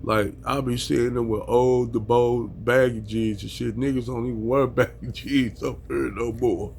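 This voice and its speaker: A man's voice: mid-range voice, fairly even intonation, unhurried, vocal fry, darkish tone, a little hiss or hum. An American man in a casual rant at home, talking bluntly and with heavy profanity, chuckling at his own points. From United States. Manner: blunt, heavily profane street talk, chuckles at himself. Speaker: vlogger.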